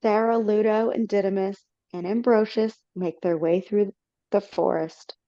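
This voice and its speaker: A woman's voice: mid-range voice, steady tone, unhurried, dark tone, no echo. A woman reads the stage directions in a casual, unpolished way, sometimes correcting herself mid-line. Her voice sits in a middle register. The audio is dry and a bit dull, as if over a video call. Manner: casual, with slips and self-corrections. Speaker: narrator. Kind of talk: reading stage directions aloud.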